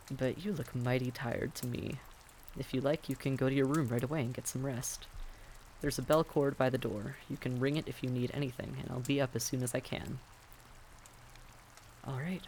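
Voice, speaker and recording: A man playing a friendly, patient vampire innkeeper in a roleplay audio drama, giving gentle, folksy, reassuring advice straight to the listener. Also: medium pitch, a little hiss or hum, natural voice, poor audio. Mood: gentle, comforting. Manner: gentle, folksy, politely advising.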